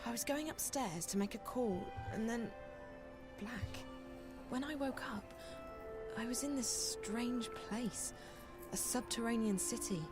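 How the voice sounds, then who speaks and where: A woman playing an earnest, bewildered young game heroine who speaks haltingly as she searches for words. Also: a little high, lightly acted. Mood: bewildered, explaining. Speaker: video game character. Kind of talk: video game cutscene acting. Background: background music.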